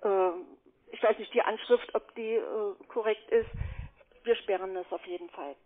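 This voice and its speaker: A woman's voice: mid-range voice, fairly even intonation, normal speed. Woman call centre agent on a phone line. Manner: hesitant, evasive, polite. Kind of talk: unscripted customer phone call. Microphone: telephone. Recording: narrow-band phone line.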